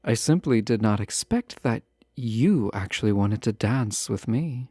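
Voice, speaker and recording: A man playing a refined, guarded yet fond fantasy ruler in a romantic audio roleplay, speaking in formal, measured phrases with teasing asides. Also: lowish voice, lively intonation, dark tone, husky, no echo, studio quality. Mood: surprised, reserved.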